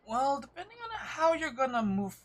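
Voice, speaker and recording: A man streaming a game, reacting casually and musing aloud in a hesitant, halting way. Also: very high-pitched, expressive.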